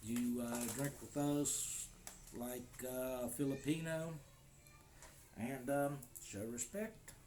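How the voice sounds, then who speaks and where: A man's voice: mid-range voice, fairly even intonation, takes it slowly, a little mellow, a little hiss or hum. An American man in casual vlog talk to the camera, easygoing and joking, recorded at his home in the Philippines. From United States. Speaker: vlogger.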